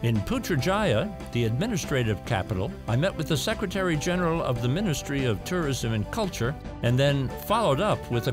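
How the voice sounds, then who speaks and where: A man giving scripted documentary narration in a measured, listing style. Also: medium pitch.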